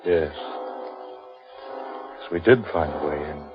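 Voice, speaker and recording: An actor playing a grim, fatalistic man in an old radio horror play, speaking in an ominous, brooding, deliberate way. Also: a bass voice. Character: grim, fatalistic man lost in a haunted forest. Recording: muffled old radio recording with music.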